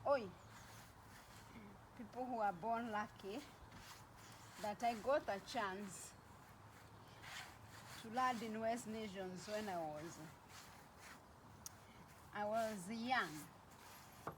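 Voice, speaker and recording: A woman's voice: medium pitch, drawn-out words, pauses often, noisy background. A woman from Kenya with a Kenyan accent chatting casually in a rambling vlog, repeating phrases for emphasis. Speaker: vlogger.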